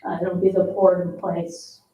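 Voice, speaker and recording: A woman with a lowish, level voice explains details at a public meeting in a halting, measured way. She is picked up from across an echoey room. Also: level tone, dark tone. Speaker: staff member briefing a board. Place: indoor town board meeting room. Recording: roomy, distant, muffled meeting-room microphone with noise.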